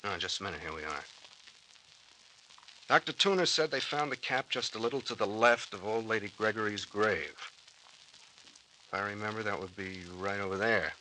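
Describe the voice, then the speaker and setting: An American actor playing a shrewd, commanding but breezy private detective captain in an old-time radio serial. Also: male voice, on the low side, expressive, moderate pace, pauses often, bright, forceful tone, slight background noise, low-quality recording. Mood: businesslike.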